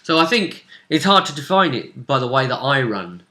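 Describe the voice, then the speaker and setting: A man with a forceful, bright voice talks through a tabletop role-playing session as its game master. His delivery is casual and a little hesitant, as he works things out aloud. His pitch sits in an ordinary male range. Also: sing-song, moderate pace.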